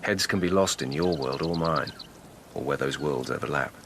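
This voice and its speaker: A man playing a probing, grave investigating lieutenant at an imperial court in a radio drama, speaking in a measured, serious way. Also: very deep voice, a bit bright, slight background noise. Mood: grave, cautioning. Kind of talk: 2000s radio drama acting.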